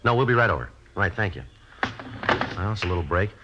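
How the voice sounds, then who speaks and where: A man playing a terse American detective sergeant in a 1950s radio drama, clipped and deadpan in both narration and dialogue. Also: low voice, fast, music in the background. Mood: businesslike, flat. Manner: clipped, matter-of-fact, deadpan. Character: terse, businesslike male police detective sergeant. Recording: dull, noisy old radio recording.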